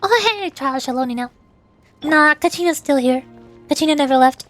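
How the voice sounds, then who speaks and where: A woman's voice: sits high, expressive, normal speed, fairly bright tone, faint music behind. A woman livestreaming gameplay as a vtuber, chattering playfully to herself with little babbled sound words. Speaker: vtuber game streamer. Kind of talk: livestream gameplay commentary, reading game dialogue aloud.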